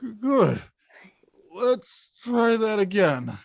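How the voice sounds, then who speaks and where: A woman's voice: mid-range voice, very animated, very slow, vocal fry, darkish tone, no echo, heavily acted. A woman playing a big, clumsy, good-hearted man coaching someone in a cartoon script read, blunt and a little hesitant. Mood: encouraging. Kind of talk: live animated-film table read.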